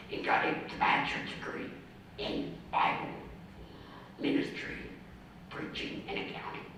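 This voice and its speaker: A man in his thirties from Ohio with a raspy, mostly whispered voice from vocal cord damage, reading a personal speech slowly and methodically with restarts. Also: very high voice. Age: 30s. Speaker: award speaker, ordained minister. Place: community center hall. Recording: echoey hall from far off, faint noise.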